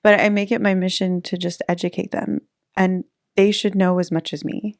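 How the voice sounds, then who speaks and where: A woman's voice: fairly low pitch, little pitch movement, moderate pace, no echo, studio quality. A woman in her thirties, a real estate agent chatting casually in an interview, laughing easily between fillers. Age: 30s.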